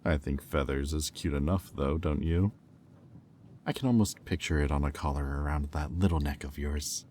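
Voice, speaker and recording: A man playing a smug, predatory demon in a roleplay audio drama, teasing and taunting in a silky manner with deliberate pauses. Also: rumbling low, a little mellow, a little hiss or hum. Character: smug, predatory male demon toying with a captive angel.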